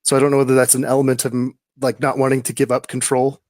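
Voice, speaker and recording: A male guest author of average pitch answers questions slowly and thoughtfully. He hesitates often and repeats words as he works out each thought. The recording is dry and close. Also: monotone, a little mellow, no room sound. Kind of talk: casual interview answers.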